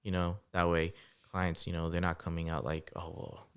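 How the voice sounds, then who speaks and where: A man in his twenties, a guest entrepreneur, telling stories in a relaxed, casual podcast interview with lots of fillers. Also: sits low, normal speed, a little hiss or hum. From United States, Salvadoran family. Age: 20s. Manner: relaxed storytelling with many fillers.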